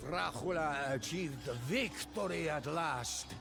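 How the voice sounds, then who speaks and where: A man's voice: a little high, expressive, slow pace, full character voice. A man playing a loyal warrior who recounts the saga of his fallen lord in grave, theatrical game-cutscene narration. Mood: sorrowful. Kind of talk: dramatic scripted storytelling narration for a game cutscene. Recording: narration over clear background music.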